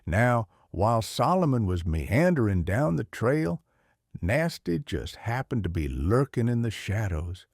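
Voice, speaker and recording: A man with a Southern US accent reading a children's storybook aloud in a folksy, expressive way. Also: on the low side, a little slow, no echo, clean studio sound. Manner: folksy, animated.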